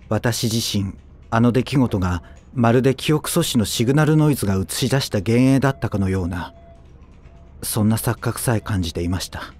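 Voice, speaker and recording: A man's voice: mid-range voice, a little mellow, lightly acted. An actor playing an earnest young male android official who narrates his story in polite, formal speech. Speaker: narrator and lead character. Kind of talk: Japanese audio drama acting. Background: background music.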